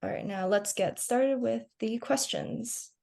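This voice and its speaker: A woman's voice: a little high, a little slow, vocal fry, darkish tone. A young woman hosting a student-run science webinar on a video call, introducing the organization and reading out questions. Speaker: webinar host.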